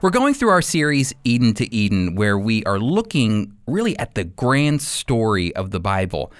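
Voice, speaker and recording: A man's voice: lowish voice, wildly swooping pitch, normal speed, soft background music. A man hosting a radio show and teaching the Bible, emphatic and repeating key points for stress.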